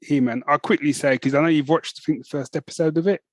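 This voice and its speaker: A man's voice: fairly high pitch, steady tone, fast talker, no echo. A man co-hosting a podcast, chatting casually and enthusiastically, with frequent restarts. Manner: enthusiastic, rambling with many restarts. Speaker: podcast co-host. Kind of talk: casual chat.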